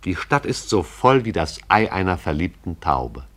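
A man narrates a radio play in a measured, poetic way. His voice is very deep. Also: big pitch swings, a bit bright.

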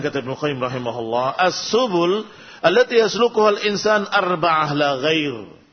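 Higher-voiced Indonesian man preaching. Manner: didactic, reciting quotations then translating and repeating in short measured phrases. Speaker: Islamic preacher. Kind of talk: sermon explaining an Arabic religious text line by line. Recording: dull, lo-fi sound.

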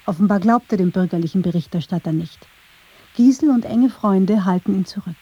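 A woman's voice: mid-range voice, a little fast, dark tone, slightly rough, dull audio. A woman reading scripted narration for a radio documentary.

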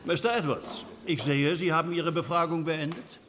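In a large reverberant hall, a man plays a judge presiding over a radio drama hearing. His voice is on the higher side, stern and formal. He speaks with commanding official authority. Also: steady tone, moderate pace, fairly bright tone, a little hiss or hum, close to an everyday voice. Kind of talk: German radio crime drama acting. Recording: long echo of a large hall.

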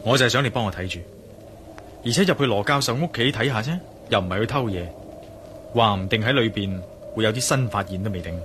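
An actor playing an inquisitive, bold male adventurer in a radio drama, with a Hong Kong Cantonese accent and a deliberate, pondering manner. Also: lowish voice, lively intonation, background noise, natural voice. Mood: pondering, determined. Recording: radio drama with clear music behind.

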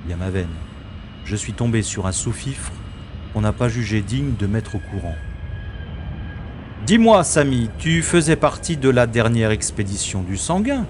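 A man playing a sardonic special agent in a science-fiction audio drama, with a mocking, theatrical and deliberate delivery. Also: mid-range voice, expressive, noisy background, lightly acted. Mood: mocking, commanding. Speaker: lead actor and narrator of audio drama. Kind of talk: science-fiction audio drama acting with inner-monologue narration.

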